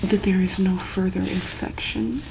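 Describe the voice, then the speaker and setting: A woman acting as a calm, caring doctor in a soft ASMR roleplay, over clearly audible background noise. She talks slowly at a middling pitch. Her delivery is hesitant, broken by pauses and frequent ums. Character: calm, caring female monster-hospital doctor.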